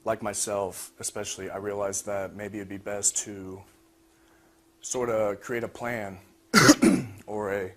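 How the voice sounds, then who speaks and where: A man's voice: on the low side, steady tone, slow pace, a bit bright. A young man giving an informal project talk as a student presenter. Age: young adult. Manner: frequent throat clearing. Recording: noisy room recording.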